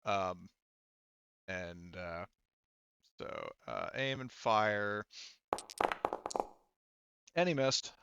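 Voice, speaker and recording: A man's voice: fairly low pitch, animated, very slow, vocal fry, long pauses, bright, forceful tone, clear, resonant tone, muffled recording. A man acting as game master in a tabletop game, casually narrating and refereeing as he thinks aloud in a hesitant way.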